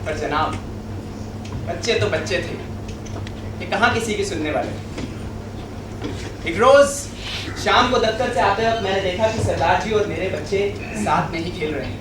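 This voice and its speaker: A man's voice: high voice, normal speed, pauses often, natural voice. Male actor as indignant government clerk, theatrical storytelling, emphatic repeats, distant noisy mic, echoey auditorium. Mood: recounting. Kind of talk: theatrical stage monologue.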